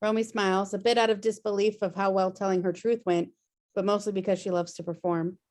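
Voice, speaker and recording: A woman's voice: medium pitch, flat delivery, a little fast, darkish tone. A woman reading screenplay stage directions as scripted narration on a video-call table read.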